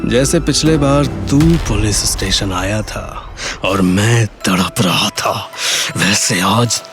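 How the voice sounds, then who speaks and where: An actor playing a vengeful, coldly gloating man in an audio drama, taunting with deliberate delivery. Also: fairly low pitch. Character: vengeful man gloating over his jailed enemy, coldly menacing. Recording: loud music under the voice.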